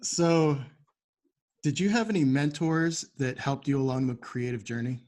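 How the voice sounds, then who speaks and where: A man's voice: medium pitch, lively intonation, unhurried, darkish tone. A man hosting a podcast interview, asking questions in a conversational way.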